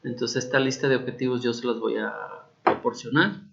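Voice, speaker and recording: Gravelly Mexican teacher explaining an assignment. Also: male voice, medium pitch, steady tone, a little fast, fairly bright tone, lo-fi sound. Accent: Mexican Spanish. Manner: patient, repeats key points with frequent check-ins. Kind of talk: recorded class explanation.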